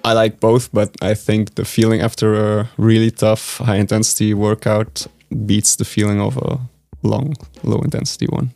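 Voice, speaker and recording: A young man from Flanders with a Flemish accent, Dutch native, answering interview questions in a low-key, hesitant way. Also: level tone, moderate pace, creaky, few pauses, warm tone, no room sound, soft background music. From Belgium (Flanders). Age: young adult. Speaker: guest researcher.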